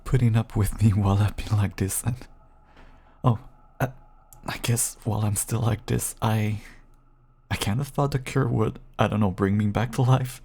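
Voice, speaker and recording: Halting, breathy, pleading young-hearted husband, man acting. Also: mid-range voice. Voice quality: breathy, soft. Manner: halting, stammering, tender. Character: seemingly cured vampire husband, gentle, apologetic, pleading. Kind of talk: intimate audio roleplay acting.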